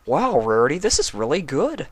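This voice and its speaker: A man's voice: fairly high pitch, very animated, normal speed, no room sound, voice pushed up. A man voicing an earnest, caring young male pony who speaks casually, in a storybook reading. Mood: friendly. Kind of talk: storybook character voice in fan fiction reading.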